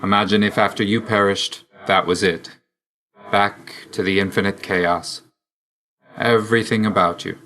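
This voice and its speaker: An actor playing a brooding young god of death in a video game, speaking in a reserved, dry, measured and formal way. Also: male voice, deep voice, a bit bright. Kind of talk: video game character dialogue.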